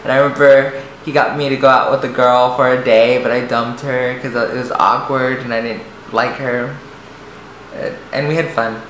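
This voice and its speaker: Young man, light soft voice, halting and rambling confessional delivery, recorded in a roomy space with faint background hiss. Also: medium pitch, flat delivery. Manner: hesitant, rambling, many restarts and fillers. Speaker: vlogger. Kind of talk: personal storytelling to camera. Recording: echoey room, faint noise.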